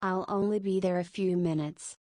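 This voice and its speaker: A woman's voice: lowish voice, fairly even intonation, moderate pace, warm tone, no echo, studio quality, natural voice. A woman voicing a tipsy forty-year-old school principal, giggly and teasing, in a visual novel. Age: 40s. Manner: giggly, teasing, slurring drunk.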